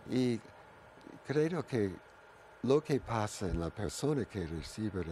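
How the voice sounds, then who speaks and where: An older man with a strong Italian accent, speaking slowly and haltingly in a very low, mellow voice. He searches for words, repeats phrases and restarts often. There is a faint hiss of background noise behind him. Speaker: guest, Watsu originator. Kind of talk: radio interview answers.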